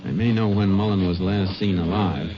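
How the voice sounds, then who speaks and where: An actor playing a calm, probing American Texas Ranger in an old-time radio crime drama. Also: male voice, low-pitched, moderate pace, lightly acted. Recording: muffled, noisy vintage radio recording.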